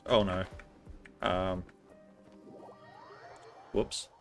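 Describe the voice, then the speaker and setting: A man's voice: deep voice, steady tone, drawn-out words, vocal fry, soft background music. A man giving casual gameplay commentary as a streamer, musing aloud to himself. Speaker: game streamer.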